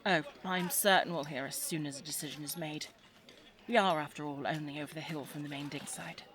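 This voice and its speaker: A woman's voice: deep voice, steady tone, brisk, fairly bright tone. A woman playing a headstrong, caring young English daughter in a 1920s audio drama, with well-spoken, formal period phrasing. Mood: composed, confiding. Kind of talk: scripted audio drama acting.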